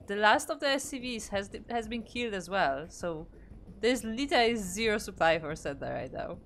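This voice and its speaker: A woman's voice: mid-range voice, animated, normal speed, a little hiss or hum. Caster: young woman, Polish accent, mid-range voice, chatty solo commentary with restarts, thinks aloud. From Poland. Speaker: esports caster. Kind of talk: solo game commentary on a stream.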